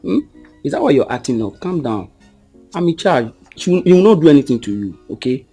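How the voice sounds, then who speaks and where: An actor playing a cocky, smooth-talking boyfriend with a husky voice in a screen drama. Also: male voice, on the high side, sing-song, brisk, dark tone, full character voice. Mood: cocky, dismissive. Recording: music under the dialogue.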